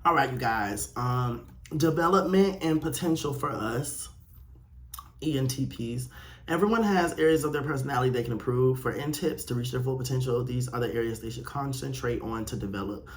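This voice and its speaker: A man's voice: medium pitch, normal speed. A man hosting a casual solo podcast, reading aloud and reacting in a candid, sassy way with playful swearing. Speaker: podcast host. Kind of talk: casual chat reacting to a quiz read aloud.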